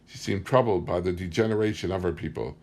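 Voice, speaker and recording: A man's voice: low-pitched, fast, a little mellow. A man reading a book aloud as scripted story narration. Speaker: audiobook reader.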